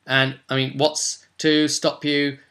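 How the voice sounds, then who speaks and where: Guy with a bright forceful voice, chatty game master explaining stuff, asks loads of rhetorical questions. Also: fairly high pitch, completely flat delivery, takes it slowly. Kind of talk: informal tabletop gaming advice monologue.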